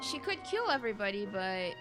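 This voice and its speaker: A woman's voice: mid-range voice, very animated, a little fast. A woman streamer giving casual, rambling reaction commentary while she plays a video game. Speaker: gaming streamer. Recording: game music behind the voice.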